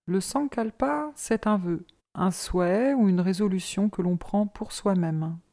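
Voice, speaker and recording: A woman leading a guided yoga relaxation as an instructor, in a calm, measured, soothing manner. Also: medium pitch.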